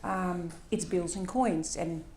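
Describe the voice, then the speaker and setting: A woman's voice: lowish voice, slow, darkish tone. Dutch-accented woman presenting in an echoey hall. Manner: hesitant, many fillers, self-deprecating. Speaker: conference speaker. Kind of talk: presentation with slides.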